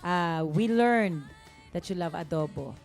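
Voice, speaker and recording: A woman hosting an interview, chatty and friendly, firing off quick follow-up questions. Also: fairly low pitch, lively intonation, over music. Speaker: talk show host.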